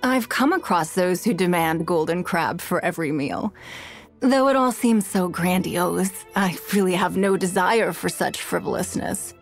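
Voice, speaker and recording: A woman's voice: on the low side, measured pace, soft background music. An actress playing a poised, shrewd and wealthy woman leader in a video game, with a composed, refined and authoritative manner. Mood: cool, measured. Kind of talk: video game character voice lines.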